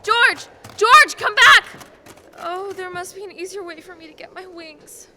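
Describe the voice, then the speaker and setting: Young woman doing earnest angel lady, high voice, radio play, moralizing, noisy recording. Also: a little slow, pushed and bright, full character voice. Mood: alarmed. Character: earnest female guardian angel, gently moralizing. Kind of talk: filmed radio play acting, high school production. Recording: clearly noisy stage recording.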